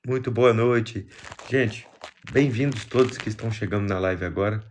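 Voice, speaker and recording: Low-voiced Brazilian man with a cold. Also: moderate pace, a little hiss or hum. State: congested with a cold. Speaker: live stream host. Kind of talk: casual livestream chat, greeting viewers.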